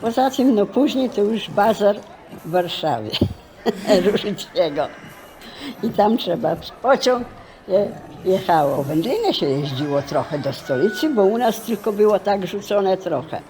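A woman's voice: medium pitch, animated, a little slow, warm tone. Senior woman, medium voice, informal recollection, faint background noise. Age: 60s or older. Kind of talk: casual reminiscence.

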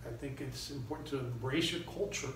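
A man's voice: medium pitch, brisk, fairly bright tone. Middle-aged Miami man in a very echoey room. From Miami, United States. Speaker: actor, interview guest. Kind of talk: conversational interview answers. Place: small indoor room. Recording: echoey room, distant mic, faint noise.

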